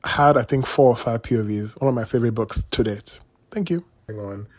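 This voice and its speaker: Excitable man gushing about books. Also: medium pitch, brisk. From Nigeria. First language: Yoruba. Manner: excitable, gushing, rambling with restarts. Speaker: book vlogger. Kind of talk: casual reading vlog chat.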